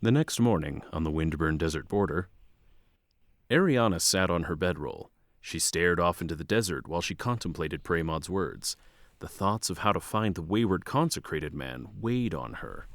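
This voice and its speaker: A man's voice: low-pitched, lively intonation, normal speed, dry recording, studio quality. A man narrating a fantasy tale in a scripted storytelling style. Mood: measured.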